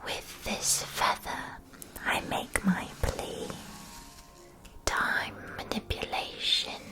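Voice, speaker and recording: A woman playing a kindly, gently mystical witch shopkeeper in a whispered ASMR fantasy roleplay. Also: extremely slow, over music. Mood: solemn incantation.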